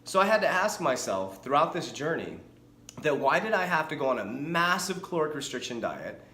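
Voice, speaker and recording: A man's voice: fairly high pitch, brisk, echoey room. A man in his forties, a fitness coach, talking energetically and chattily to camera with frequent restarts. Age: 40s. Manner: energetic, chatty, self-correcting. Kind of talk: casual talking-head vlog.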